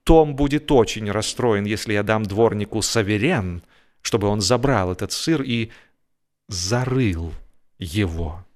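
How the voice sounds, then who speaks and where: A man's voice: lowish voice, unhurried, natural voice. A man voicing an indignant, suspicious married lady in a storybook, asking pointed, emphatic questions.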